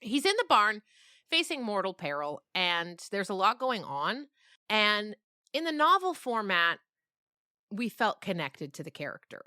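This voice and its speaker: Woman, middle-aged, interviewed author. Bright, forward tone, animated and conversational, dry recording. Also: medium pitch, measured pace, no echo. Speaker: novelist and podcast creator being interviewed.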